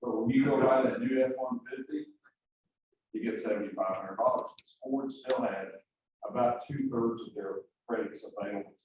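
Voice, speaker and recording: A man speaks to a live audience in a big, booming voice built to fill the room, folksy and direct, throwing questions out to his listeners. He is picked up from well off the mic in a very echoey room, and the sound is dull and lo-fi. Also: steady tone, normal speed, far from the mic, a little hiss or hum. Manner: loud projecting voice, folksy, asks the room questions. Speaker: automotive industry speaker. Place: conference room.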